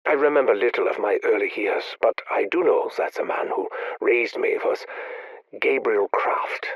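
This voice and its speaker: An actor playing a grieving, obsessive male scientist, speaking in a measured, brooding, confessional way in video game audio logs. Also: fairly low pitch, animated, no room sound, lo-fi sound.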